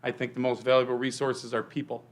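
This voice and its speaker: Man, police chief, meeting room presentation, rapid, flat delivery. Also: medium pitch. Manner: speaks loudly without a microphone, filler-heavy. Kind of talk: presentation to a village board.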